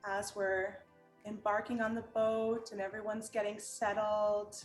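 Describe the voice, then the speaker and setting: Slow-paced woman guiding a meditation. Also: mid-range voice. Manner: calm, gentle, unhurried instruction with long pauses. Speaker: meditation guide and art teacher. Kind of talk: guided meditation. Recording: roomy echo with music underneath.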